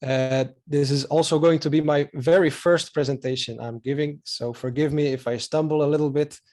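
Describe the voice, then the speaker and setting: A Belgian man in his thirties, speaking English as a second language after Dutch, with a Belgian Dutch accent. His voice is mellow and dark-toned, and he pauses often with filler sounds in a friendly, earnest manner. He presents over a video call microphone. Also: a little high, fairly even intonation. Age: 30s. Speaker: photographer giving a presentation. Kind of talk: online slideshow presentation.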